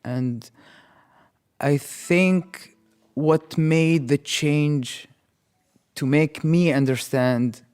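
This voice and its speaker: Halting man. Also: a little high, a little mellow, smooth. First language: Arabic. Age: 30s. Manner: halting, repeats words as he thinks. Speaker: interview guest. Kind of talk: reflective personal storytelling.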